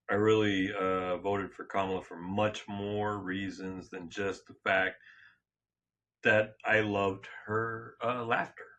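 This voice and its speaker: A man hosting a casual sports talk show, slightly hoarse, rambling and joking with frequent restarts and self-corrections. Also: lowish voice, pushed and bright, slight background noise. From California. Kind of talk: casual solo sports and politics chat.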